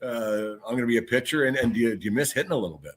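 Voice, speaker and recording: A man's voice: medium pitch, racing, a bit bright. A man hosting a casual podcast interview, putting questions in a rambling, jokey, conversational way. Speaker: podcast host interviewing an athlete.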